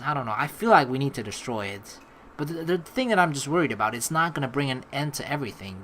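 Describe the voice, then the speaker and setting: A let's player reading game text aloud and giving casual, rambling, halting commentary as they think out loud. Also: medium pitch, slight background noise.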